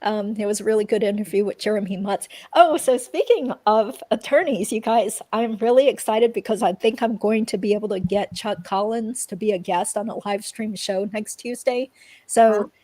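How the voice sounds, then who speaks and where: A woman's voice: mid-range voice, moderate pace, warm tone, no room sound. A woman hosting a livestream, chatting informally in a casual commentary style. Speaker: livestream host. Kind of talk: casual chat, true-crime commentary.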